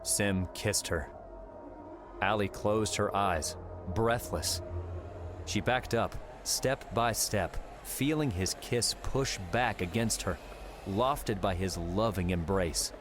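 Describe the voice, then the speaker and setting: Low-voiced man narrating over music. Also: takes it slowly. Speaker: audiobook narrator. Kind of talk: dramatic scripted narration. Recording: music under the voice.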